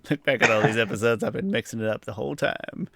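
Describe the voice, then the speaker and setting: A man's voice: medium pitch, animated, brisk, vocal fry, fairly bright tone, no echo, clean studio sound. A man hosting a podcast, chatting casually through a review in an informal, self-deprecating way and thinking aloud. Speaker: podcast host.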